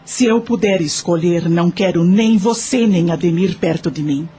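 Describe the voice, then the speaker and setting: A woman's voice: on the low side, normal speed, mellow, breathy edge. A woman playing a wounded, forgiving aunt in an audio drama, with an earnest, emotional, plaintive delivery. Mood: hurt, resigned. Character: wounded, forgiving aunt confessing her sad past. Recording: music under the voice.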